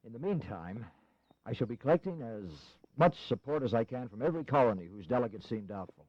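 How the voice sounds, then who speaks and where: An actor playing a shrewd, older revolutionary schemer in a historical audio drama, wry, teasing and persuasive. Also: male voice, medium pitch, lively intonation, fairly quick, no room sound, natural voice. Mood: scheming, amused. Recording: dull, dry 1980s audio drama recording.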